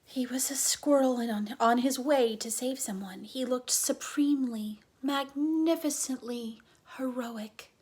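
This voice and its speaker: A woman reading a children's book aloud as a dramatic storyteller, often dropping into a breathy hush. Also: high voice, unhurried. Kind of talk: expressive children's book narration.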